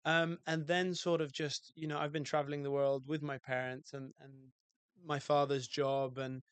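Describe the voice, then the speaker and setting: A man with a British accent, a guest on a podcast, talking in a relaxed, reflective way with many fillers and restarts. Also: a little high, normal speed, clear, resonant tone, no echo. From UK, outside London. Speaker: guest, hotel owner. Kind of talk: casual podcast interview.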